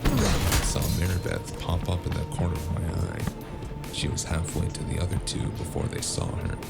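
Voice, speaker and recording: A man playing a weary, wry noir detective in an audio drama, speaking tersely with a gravelly voice. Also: low voice, huge pitch swings, normal speed, heavily acted. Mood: tense, grim. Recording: music under the voice.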